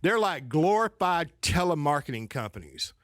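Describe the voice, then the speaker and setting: A man's voice: a little high, big pitch swings, normal speed, fairly bright tone, no echo, clean studio sound. A man with a Southern accent, a financial advisor, talking in a rambling way, restarting sentences and often asking "right?". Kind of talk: casual conversation.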